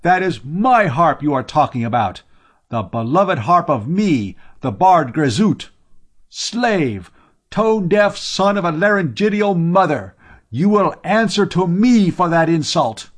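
A man's voice: a little high, big pitch swings, unhurried, pitched above the performer's own voice. A man voicing an outraged, pompous harpist bard in an audiobook story. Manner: pompous, indignant, exclamatory. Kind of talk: audiobook character voice.

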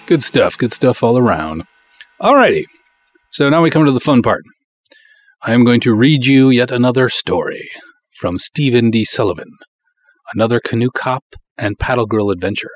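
A man's voice: medium pitch, lively intonation, moderate pace, dry recording. A man chatting casually as the host of a podcast. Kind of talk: casual chat.

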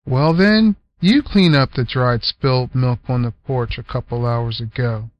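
A man's voice: fairly high pitch, very animated, moderate pace, no echo, dull audio, voice pushed up. A man voicing a bossy middle-aged woman who scolds and orders people about in a storybook reading. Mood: scolding. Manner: chiding, ordering.

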